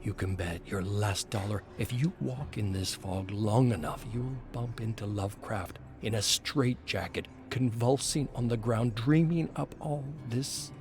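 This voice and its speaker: An actor playing a brooding screenwriter in a game, reading his journal with deliberate, theatrical pensiveness. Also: on the low side. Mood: brooding, bewildered. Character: brooding male screenwriter musing over his haunted journal. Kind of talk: scripted dramatic journal narration. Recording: music behind the voice. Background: music.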